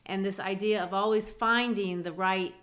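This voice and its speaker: A woman's voice: mid-range voice, steady tone, moderate pace, a bit bright. A woman, co-hosting a literary podcast and explaining things in an earnest way. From grew up in Brazil. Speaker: podcast co-host.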